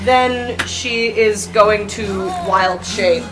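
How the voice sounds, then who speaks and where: A woman's voice: medium pitch, very slow, low-quality recording. A woman playing a tabletop game, casually and hesitantly narrating what her character does. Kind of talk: casual improvised game play in third person. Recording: music playing behind the voice. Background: music.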